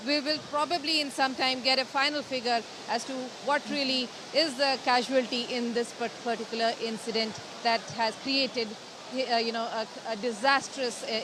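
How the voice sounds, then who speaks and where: A woman with an Indian accent reporting live as a field news correspondent, halting and wordy as she goes. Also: on the high side, fairly even intonation. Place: outdoors outside a hospital mortuary in rain. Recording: noisy outdoor live link with rain and traffic.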